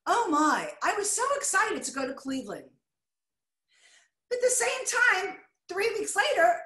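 An older American woman with a high voice gives an art lecture over a video call. She talks in a chatty, excitable way, breaking off now and then to fuss with her slides. The sound is roomy and echoey, like a computer mic in a bare room. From United States.

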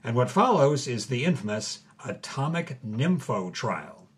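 A man's voice: medium pitch, big pitch swings, unhurried, breathy edge. A man co-hosting and narrating a story, reading old headlines aloud with wry relish. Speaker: co-host narrator. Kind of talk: scripted narration with chatty asides.

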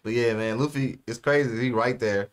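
A man's voice: mid-range voice, moderate pace. A young man in casual reaction chat as a show host, talking in slang with plenty of bro and man. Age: young adult. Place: small room indoors, seated.

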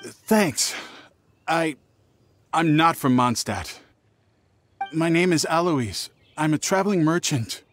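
An actor playing a nervous, cowardly young traveling merchant in a video game, stammering theatrically with music behind him. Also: male voice, a little high, animated, slow pace, long pauses, slight background noise. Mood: shaken, relieved. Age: young adult. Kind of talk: video game character acting.

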